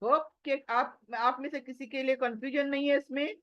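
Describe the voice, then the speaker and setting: A woman's voice: high-pitched, steady tone, moderate pace. A woman teaching Quranic recitation, sounding out letters over and over and explaining them step by step, with English phrases mixed in. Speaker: tajweed teacher. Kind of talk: slow explanatory recitation lesson. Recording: dull lo-fi recording.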